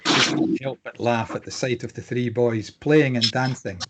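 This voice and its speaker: A man's voice: mid-range voice, fairly even intonation, moderate pace, very noisy. A man giving scripted narration, reading out scene headings and stage directions for a drama.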